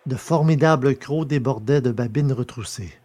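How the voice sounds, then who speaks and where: A man narrating a science fiction audio drama in a steady, measured storytelling way. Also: medium pitch.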